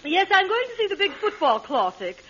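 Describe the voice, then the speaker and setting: An actress playing an affected, flirtatious glamorous film star in a 1940s radio comedy. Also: female voice, very high voice, very animated, brisk, muffled recording. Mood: gushing, flirtatious. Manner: affected, gushing, coquettish. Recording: noisy old radio recording, studio audience.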